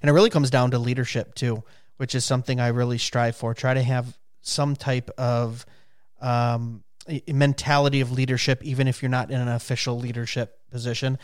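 Smooth-voiced man in his thirties hosting. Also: mid-range voice, fairly quick. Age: 30s. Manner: animated, laughs and exclaims when a guest scores. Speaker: podcast host. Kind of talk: casual chat, running a music quiz.

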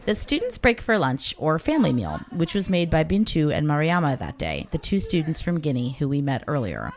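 A low-voiced woman narrating, lively. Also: fairly quick, background noise. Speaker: reporter narrator. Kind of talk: scripted documentary narration.

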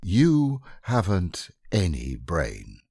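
A man's voice: deep voice, wildly swooping pitch, dark tone, breathy edge, dry recording, clean studio sound, heavily acted. A man doing a bossy, fussy storybook rabbit. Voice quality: brisk, precise and self-important. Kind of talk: audiobook character reading.

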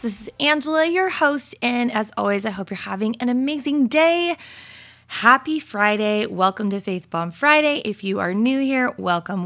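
A woman hosting a faith podcast, slightly raspy this morning, talking in a chatty, upbeat way with laughs and fillers. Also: on the high side, bright, forceful tone. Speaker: podcast host. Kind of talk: casual devotional podcast talk.

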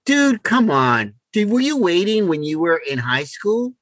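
Middle aged guy podcast host, very lively up and down voice, blunt joking chat, dry close sound. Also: high-pitched, unhurried, dry recording. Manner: blunt, exasperated joking, some swearing. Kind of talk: casual bantering chat.

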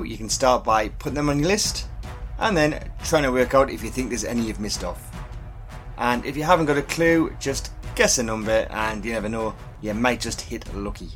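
A man hosting a podcast quiz, reading questions and answers in a scripted, upbeat and encouraging way. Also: medium pitch. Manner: upbeat, encouraging, reads clues and answers slowly and clearly. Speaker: quiz host.

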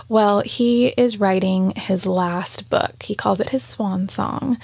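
A woman's voice: mid-range voice, gravelly, dry recording, clean studio sound. Earnest Southern woman podcast host. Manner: warm, earnest, chatty with frequent y'all. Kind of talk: solo podcast monologue.

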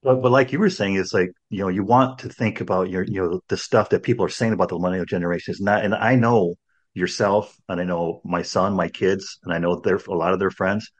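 Low husky older man, rambling podcast host. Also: steady tone, brisk. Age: 60s. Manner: rambling, many restarts and "you know"s. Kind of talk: casual podcast conversation.

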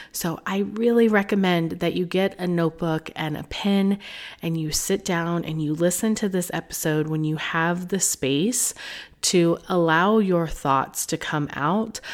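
A woman hosting a solo podcast as a business coach, talking in a chatty, encouraging way while congested from a cold. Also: fairly low pitch.

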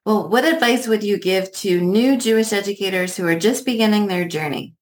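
A woman interviewing a guest with prepared questions, polite, measured and affirming. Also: mid-range voice. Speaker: podcast interviewer.

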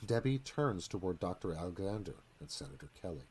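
A man's voice: low-pitched, very animated, a little fast, a little mellow, slightly rough. A man reading screenplay narration dramatically for a live radio theatre performance.

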